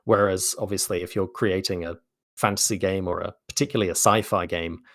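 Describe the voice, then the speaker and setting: A man's voice: low voice, fairly even intonation, fairly quick, fairly bright tone, dry recording, studio quality. A man speaking as an interview guest, articulate and explanatory in a thoughtful conversational style. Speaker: interview guest, game narrative designer.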